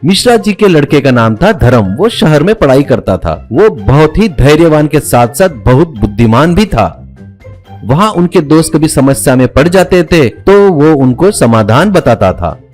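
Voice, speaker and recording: Animated male storyteller over music. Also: a little high, measured pace. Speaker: story narrator. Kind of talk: scripted cartoon story narration.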